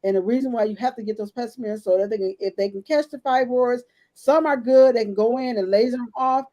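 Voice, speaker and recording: A woman's voice: on the high side, a little fast, dark tone. A woman in her late fifties candidly telling her own health story in a casual chat, speaking straight to the women listening. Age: 50s. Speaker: podcast co-host.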